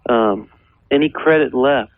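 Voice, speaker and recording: A man's voice: mid-range voice, measured pace, no echo. An American man in his thirties, a caller to a radio advice show, chatting casually and asking questions. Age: 30s. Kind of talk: casual phone conversation. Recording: thin, phone-like radio call-in line.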